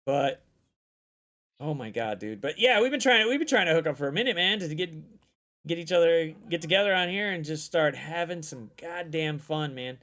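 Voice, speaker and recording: Male stream host, fairly high voice, excitable, profane, rambling. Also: moderate pace. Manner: excitable, swears freely, repeats himself. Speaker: streamer hosting a guest chat. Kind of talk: loose casual chat. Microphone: desk microphone.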